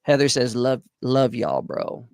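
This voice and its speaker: A man's voice: mid-range voice, animated, measured pace, creaky, darkish tone, smooth, no echo, studio quality. A man hosting a casual livestream talk, laid-back, laughing often and reading out chat comments. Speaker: livestream host.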